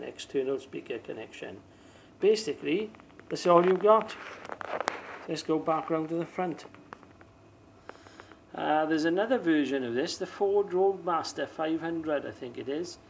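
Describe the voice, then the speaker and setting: A man giving a casual hands-on demonstration in an unhurried, plain-spoken way. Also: a little high, slight background noise, rough recording. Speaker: presenter of a radio demonstration video. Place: small indoor room or shed.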